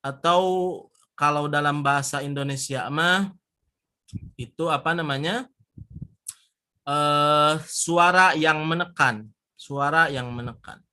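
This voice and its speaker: Slow, bright-voiced Sundanese recitation teacher. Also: male voice, on the high side, clean tone. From West Java. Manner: demonstrates letter sounds slowly and firmly, repeating syllables. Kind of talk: recitation lesson.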